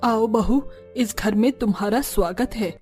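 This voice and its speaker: An actress voices a middle-aged cartoon mother-in-law. She is caring and homely, with a soft mellow tone and faint music behind her. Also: fairly high pitch, measured pace, close to an everyday voice. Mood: welcoming. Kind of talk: Hindi cartoon story acting.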